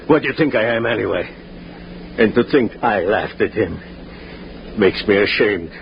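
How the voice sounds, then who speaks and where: A man's voice: fairly low pitch, expressive, normal speed, vocal fry. An actor playing a proud, grieving prisoner in 1950s radio drama, earnest and somewhat formal in his delivery. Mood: grave, remorseful. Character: grieving, proud male prisoner, gruffly decent. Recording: muffled, noisy 1950s radio recording.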